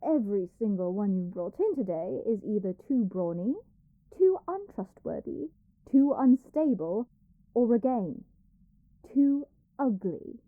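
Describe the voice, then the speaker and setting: A woman's voice: mid-range voice, big pitch swings, a little slow, dry recording, phone-quality sound. A woman playing an arrogant young princess in a dramatic audio roleplay, haughty, petulant, theatrical and given to complaint. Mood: indignant, haughty.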